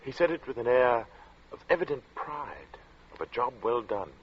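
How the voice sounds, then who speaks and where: A man acting as an uneasy, introspective gentleman who narrates an eerie tale in 1940s radio suspense style, measured and literate. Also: medium pitch, very animated, breathy edge. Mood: watchful, measured. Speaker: narrator and protagonist. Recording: muffled old radio drama recording.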